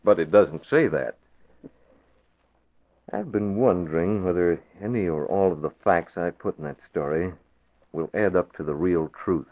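An actor playing a calm, confident newspaper publisher in a 1940s radio drama. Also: male voice, deep voice, a little slow, pauses often. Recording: dull lo-fi old radio recording.

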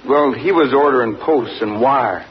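An actor playing an earnest, polite young country son who also narrates in a 1940s radio drama, on a dull old recording. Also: male voice, medium pitch, normal speed, a little hiss or hum, close to an everyday voice. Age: young adult.

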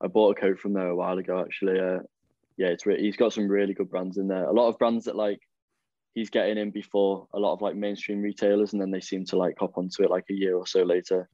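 A man's voice: low-pitched, level tone, fast talker, warm tone, no echo. A young man, chatting as a podcast guest and rambling through plenty of fillers and restarts. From the Wirral, England. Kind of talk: casual chat. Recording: dull lo-fi, dry.

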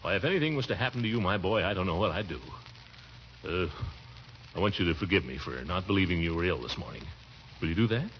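An actor playing a fussy, affectionate middle-aged uncle in a theatrical, blustery 1940s radio comedy style. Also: male voice, low voice, expressive, quick pace. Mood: doting, reassuring. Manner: blustery, theatrical, with swooping exclamations and chuckles. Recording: muffled, noisy vintage radio recording.

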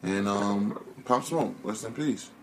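A young man chatting casually in a relaxed, teasing way. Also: lowish voice, slow pace, background noise. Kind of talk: casual chat.

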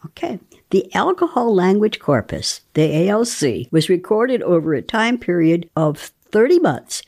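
A woman reading research text aloud in a deliberate, articulate way, breaking off for wry spontaneous asides. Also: lowish voice, very animated, vocal fry, darkish tone. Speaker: guest reader and commentator.

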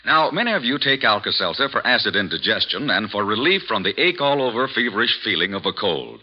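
A man's voice: lowish voice, big pitch swings, brisk. A man with an American accent reading a 1940s radio advertisement in an emphatic, salesmanlike way. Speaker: radio announcer. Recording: dull vintage radio recording.

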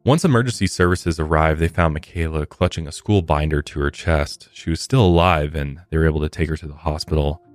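Very deep-voiced male podcast host. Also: no echo. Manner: earnest and measured, with occasional fillers. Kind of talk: true crime podcast narration and commentary.

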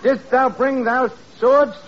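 A slow, pompous mock-opera father. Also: very high-pitched, steady tone. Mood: mock-heroic. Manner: mock-archaic, declamatory. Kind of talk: 1940s radio comedy acting. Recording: muffled, noisy vintage radio recording.

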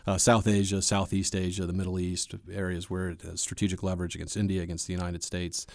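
A man speaking as an expert guest in an interview, explaining in a measured, conversational way. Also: deep voice, little pitch movement, no echo.

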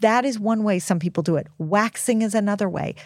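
A woman's voice: mid-range voice, fairly quick, no room sound, clean studio sound. A middle-aged American woman explaining things as an expert guest in a conversational interview, plain-spoken and emphatic, repeating key points. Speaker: guest expert and author on puberty.

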